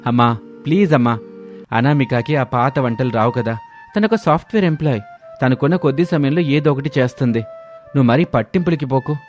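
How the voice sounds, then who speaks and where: A man's voice: medium pitch, lively intonation, a little fast, a little mellow, soft background music, lightly acted. Man acting a placating, pleading grown-up son. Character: gentle, pleading adult son. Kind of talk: animated moral story acting.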